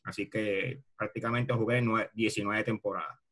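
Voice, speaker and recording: A man's voice: lowish voice, steady tone, moderate pace, fairly bright tone. A middle-aged man from Puerto Rico with a Puerto Rican accent, reminiscing casually on a video call as a retired ballplayer. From Bayamón, Puerto Rico. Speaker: retired baseball player, interview guest. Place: video call from a room at home.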